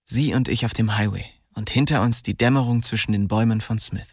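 Radio drama narrator: restrained young man, husky, terse and reflective, recorded dry. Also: lowish voice, fairly quick, darkish tone, no echo. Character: young male first-person narrator of a crime story. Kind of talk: scripted radio play narration.

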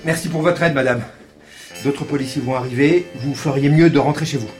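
An actor playing a tense, no-nonsense police detective in naturalistic audio fiction, terse and commanding. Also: male voice, medium pitch, a little fast, natural voice. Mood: businesslike. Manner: terse, commanding, casual swearing. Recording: roomy echo, music behind.

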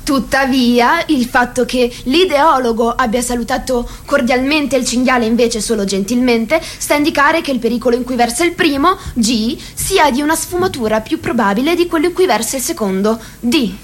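A precise female narrator in a stage-like radio play. Her voice is high, and she sets out a regime's pecking order in scripted, expository phrases. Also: normal speed, few pauses.

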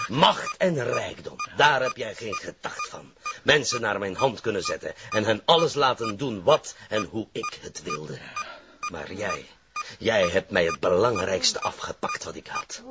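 Casting: Flemish criminal mastermind, taunting and vengeful, pitch leaping widely; muffled, noisy TV crime drama sound. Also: male voice, wildly swooping pitch, measured pace, heavily acted. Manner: menacing, taunting, commanding. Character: vengeful, power-hungry criminal mastermind holding a hostage.

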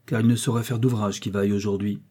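A man's voice: on the low side, little pitch movement, fast, warm tone, slightly rough. A man voicing an anxious, easily shaken mill hand in a storybook reading, with distressed, pleading delivery. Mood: embarrassed.

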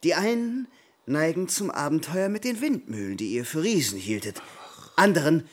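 A cheeky young bachelor in a radio drama. He pours out mock-reverent praise in a fairly high, very animated voice. His delivery is florid and big-mouthed. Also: moderate pace. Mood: eager. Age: young adult. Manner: grandiloquent, mock-reverent flattery.

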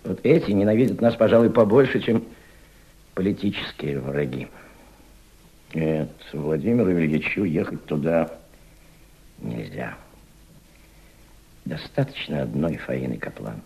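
An actor in a Russian radio drama playing a grave, worried senior Soviet statesman who speaks to a colleague in a deliberate, paternal, emphatic way. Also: rumbling low, creaky, warm tone, breathy edge, exaggerated voice. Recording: dull, lo-fi old radio play recording.

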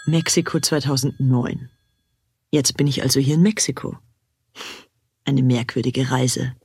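An actor playing a reflective, introspective narrator in a radio play, looking back on a rebellious youth with a husky, breathy voice. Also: mid-range voice, dry recording, clean studio sound, heavily acted.

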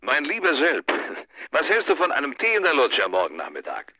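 Suave boss guy on the phone, talking down, super animated, narrow phone sound, radio play. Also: a little high, fairly quick, no echo, full character voice. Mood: mocking joviality. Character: suave, jovially condescending male boss on telephone. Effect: telephone filter. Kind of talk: crime radio drama dialogue. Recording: dull, thin telephone sound.

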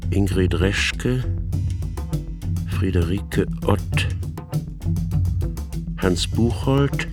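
Very slow, low-voiced male announcer over guitar. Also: lively intonation. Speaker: announcer reading the cast credits. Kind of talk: formal scripted radio announcement. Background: guitar music.

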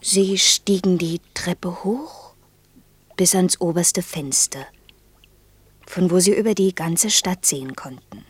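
An actress playing a gentle, pensive, earnest woman in a quiet radio play, speaking in a breathy, lyrical, hushed storytelling manner. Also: on the low side, no room sound. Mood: tender, dreamy. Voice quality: breathy, husky. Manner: hushed, slow, lyrical storytelling that slips into near-whisper. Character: gentle, pensive woman telling a fairy tale, gravely earnest. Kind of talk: quiet, intimate German radio play acting.

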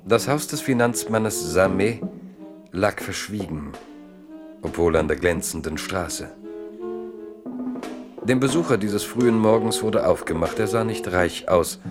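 A man narrating a literary radio drama in a measured way with dramatic pauses. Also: on the low side, over music.

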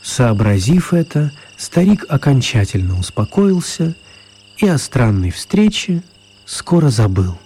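A man's voice: medium pitch, big pitch swings, unhurried, dark tone, slight background noise. An actor narrating an audio drama as a writer telling his own magical tale. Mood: storytelling. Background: music.

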